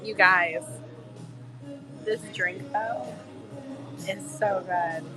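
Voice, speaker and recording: A woman travel vlogger with a cold, her voice a bit hoarse, chatting in a bubbly, gushing way to her live viewers from a bar. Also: medium pitch, very slow, bright, forceful tone. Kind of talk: casual live-stream chat with viewers. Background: music playing in the bar.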